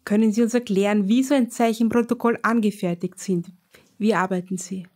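A woman hosting a radio show, reading her introduction in measured, clear phrases. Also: medium pitch, fairly even intonation, a little mellow. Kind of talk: scripted radio presenting.

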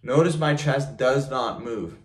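A man's voice: medium pitch, measured pace. A young man giving a casual home vlog with a loose, chatty manner, full of ums and repeated words, filmed by the camera in front of him in a small home gym. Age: young adult. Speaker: fitness vlogger. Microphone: camera mic in front of him, head and shoulders in frame.

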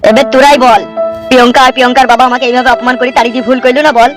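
A man's voice: very high voice, flat delivery, rapid-fire. A man voicing brash, lecturing village men in a comedy cartoon, with a blustery manner. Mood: aggrieved. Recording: music behind the voice.

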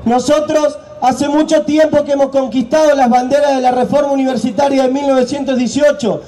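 A man's voice: very high-pitched, fairly even intonation, brisk, dark tone. A young man delivering an emphatic solidarity speech at an outdoor rally through a handheld mic. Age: young adult. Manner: emphatic, raised-voice oratory. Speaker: student federation delegate. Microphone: handheld mic over a PA.

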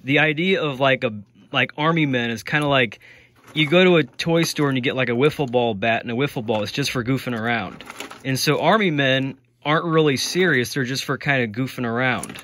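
A man's voice: mid-range voice, fairly quick, a bit bright, slight background noise. A man in his forties chatting in a basement, explaining old toys with loose fillers and restarts. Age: 40s. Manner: knowledgeable, explaining toy history with many fillers. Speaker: toy collector host. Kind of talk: casual chat.

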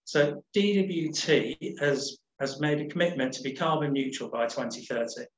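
A middle-aged man giving a casual slide talk as a nature reserves manager, relaxed and rambling with many ums and restarts. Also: on the high side, normal speed, roomy sound, poor audio. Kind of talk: casual presentation over slides. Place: home room on a video call.

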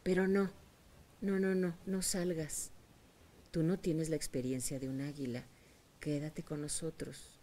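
A woman voicing an anxious, protective mother hen who pleads and cries out high and loud. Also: fairly even intonation, measured pace, slight background noise. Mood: anxious coaxing.

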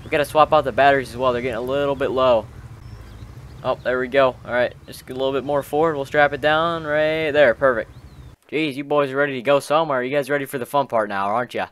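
A man's voice: medium pitch, fairly even intonation, fast talker, a bit bright. A man hosting a gaming video, chatting in informal slang as he roleplays and comments on the game with friends. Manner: chatty, informal, peppered with bro-style slang.

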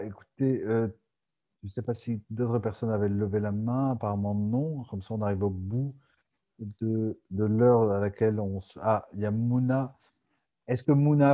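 A man from Aix-en-Provence hosting a casual video-call Q&A, relaxed and chuckling, with frequent hesitant restarts. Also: lowish voice. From France, Aix-en-Provence. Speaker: podcast host and moderator. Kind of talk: casual Zoom Q&A chat. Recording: dull muffled call audio.

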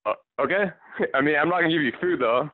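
A man's voice: on the high side, fast talker, no room sound. An American man in a casual phone chat, hesitant and polite, heard over a band-limited phone line. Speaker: online seller receiving a prank call. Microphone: telephone.

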